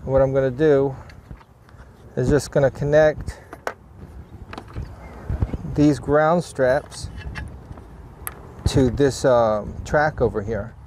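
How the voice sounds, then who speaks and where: A man with a mid-pitched voice talks through a DIY vehicle job as he does it. He speaks casually and off the cuff, in short plain remarks, as if thinking aloud. Some ambient work noise sits behind his voice. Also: steady tone, slow pace, long pauses, a little mellow. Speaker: DIY vlogger demonstrating a vehicle repair. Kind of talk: casual hands-on how-to narration while working.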